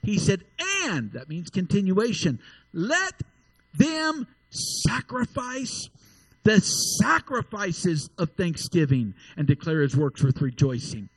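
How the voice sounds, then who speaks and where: A middle-aged man delivers an emphatic sermon in a fairly high voice, stopping and restarting his phrases as he reads scripture aloud. The sound is close and dry, with almost no room echo. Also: sing-song, slow, slightly rough. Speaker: preacher.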